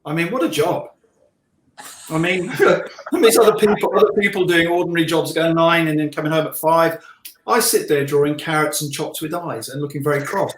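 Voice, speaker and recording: A middle-aged man talks in a casual, easygoing way with dry jokes at his own expense. His voice sits a little high, and the room adds clear echo. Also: fairly even intonation, normal speed, a little hiss or hum. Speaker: illustrator guest. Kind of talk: casual online live chat. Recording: echoey room sound.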